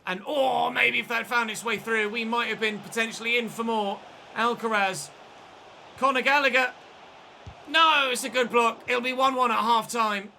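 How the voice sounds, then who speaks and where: A man commentating his football video game in a chatty way, breaking into excited shouts at big moments. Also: very high voice, normal speed, gravelly, slight background noise. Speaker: gaming channel host.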